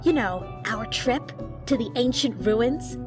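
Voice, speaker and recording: A woman's voice: on the high side, expressive, slow pace, over music. A woman playing a brash, restless young friend in an audio drama, slangy, blunt and playfully dramatic. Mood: demanding, accusing.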